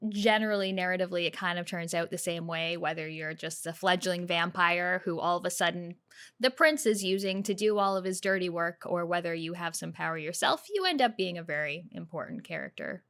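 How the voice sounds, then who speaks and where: A woman's voice: fairly low pitch, a bit bright. A woman hosting a gaming video, giving casual reaction commentary.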